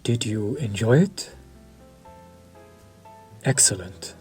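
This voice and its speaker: A man voicing a suave, courteous vampire chef who speaks formally and softly, sometimes near a whisper. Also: lowish voice, animated, faint music behind. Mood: savoring. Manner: slow, formal and soft-spoken, dropping to near-whispers. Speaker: voice actor. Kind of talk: audio roleplay to the listener.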